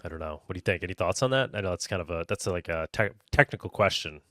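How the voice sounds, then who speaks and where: Low-voiced male podcast host, halting. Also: animated, a bit bright, no room sound, studio quality. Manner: halting, rephrases questions as he goes. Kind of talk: casual interview talk.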